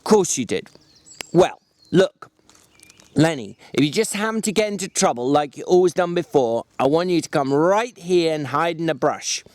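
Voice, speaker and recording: A man voicing a sharp, protective migrant ranch hand with folksy, instructing speech in a dramatic storybook reading. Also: on the high side, lively intonation, moderate pace, dry recording, pitched above the performer's own voice, lightly acted. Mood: firm.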